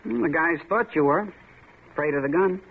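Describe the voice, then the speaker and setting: A man's voice: on the high side, moderate pace, vocal fry. An actor playing a taunting, swaggering young man in a 1940s radio drama, needling his friend as a coward. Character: taunting young male tough, Red. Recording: tinny lo-fi old radio broadcast with faint hiss.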